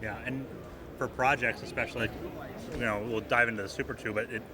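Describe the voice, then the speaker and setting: A man's voice: medium pitch, steady tone, a little fast, crowd noise. A man co-hosting a casual interview at a trade show, chiming in with conversational commentary. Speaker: co-host. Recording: noisy busy venue background.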